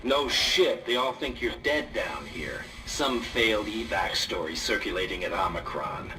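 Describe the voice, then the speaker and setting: A man playing a gruff, commanding character on an urgent mission in a video game role play. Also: lowish voice, lively intonation, unhurried, far from the mic.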